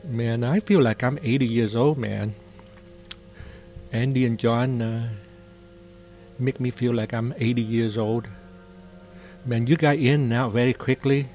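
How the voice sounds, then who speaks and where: A man's voice: medium pitch, fairly even intonation, unhurried, long pauses, darkish tone, soft background music. A man with doing casual live trading commentary, chatty and jokey, often repeating his phrases. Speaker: day trader hosting a live trading stream.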